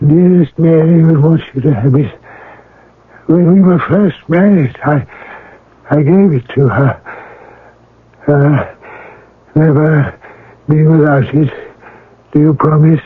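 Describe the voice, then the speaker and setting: An actor playing a frail, ailing old father in a radio drama, gentle and worried, speaking haltingly. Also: fairly high pitch, slightly rough, no echo. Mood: feeble, tender. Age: older. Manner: halting, faltering speech. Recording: tinny old radio broadcast.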